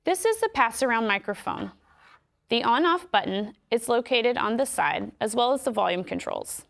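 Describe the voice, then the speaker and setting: A woman with an American accent giving scripted instructions in a clear, measured way. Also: on the high side, expressive, fairly bright tone. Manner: clear, measured explanatory delivery. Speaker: instructional video narrator.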